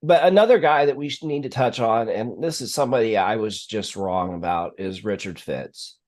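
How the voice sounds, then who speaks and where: A man hosting a casual sports talk stream, explaining things in a measured, analytical way. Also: fairly low pitch, a little mellow. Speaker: sports live stream host.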